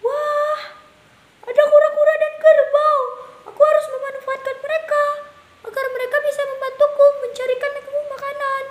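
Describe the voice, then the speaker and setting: A woman's voice: extremely high pitch, flat delivery, normal speed, voice pushed up. A woman voicing a lazy, scheming storybook peacock, whiny and theatrical, fussing and exclaiming. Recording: echoey room with hiss.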